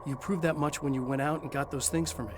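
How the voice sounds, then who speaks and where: A man's voice: a little high, fairly even intonation, quick pace, noisy background, close to an everyday voice. A man playing a grim, determined writer hero. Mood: firm. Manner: firm, clipped and commanding. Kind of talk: video game cutscene acting. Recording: game audio over music.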